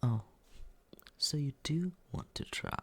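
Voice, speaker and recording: A young man voicing a smug young man who teases and quietly looks after the listener, in a close, soft, whispered roleplay. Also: on the high side, lively intonation, takes it slowly, husky, heavily acted. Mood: teasing. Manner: teasing, condescending, soft whispering. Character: smug teasing young man, mockingly caring. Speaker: ASMR roleplay performer.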